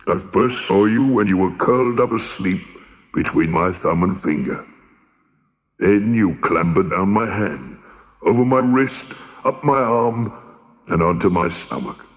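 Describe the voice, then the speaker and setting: A man voicing a huge, friendly mountain giant in a storybook reading, with a deep, rumbling, slow and genial delivery. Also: lively intonation. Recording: long cavernous reverb.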